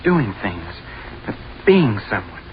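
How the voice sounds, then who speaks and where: An earnest, awkward young bookworm. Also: male voice, fairly low pitch, very animated. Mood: fervent. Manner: polite, halting, repeats himself. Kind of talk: 1930s radio drama acting. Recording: noisy, muffled 1930s radio recording.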